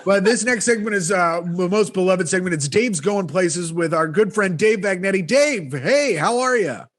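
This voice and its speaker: A man with a high voice co-hosts a comedy podcast over a video call. He talks quickly and rambles through his riffs, laughing often. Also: lively intonation. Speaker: co-host.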